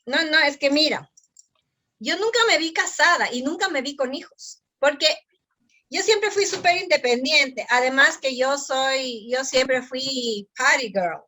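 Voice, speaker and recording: Bright high-voiced Ecuadorian woman guest. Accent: Ecuadorian Spanish. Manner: lively storytelling, frequent quick affirmations. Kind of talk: conversational interview.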